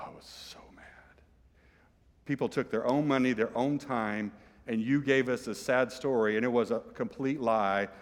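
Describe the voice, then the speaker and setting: A man's voice: fairly high pitch, a bit bright. Conversational male preacher in a reverberant hall. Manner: conversational, jokey, asks the congregation questions. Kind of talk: sermon. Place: church sanctuary.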